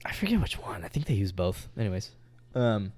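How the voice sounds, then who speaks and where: A man's voice: lowish voice, very animated, fairly quick, a little mellow. A man co-hosting a podcast in casual chat, laid-back and swearing freely, trailing off with fillers. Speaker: podcast co-host.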